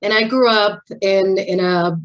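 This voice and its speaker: A woman in her forties from Puerto Rico, giving a personal talk at a virtual symposium, emotional and halting with many restarts. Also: medium pitch, fairly even intonation, dull audio. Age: 40s. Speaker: guest speaker. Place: home at a video call. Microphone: video call mic.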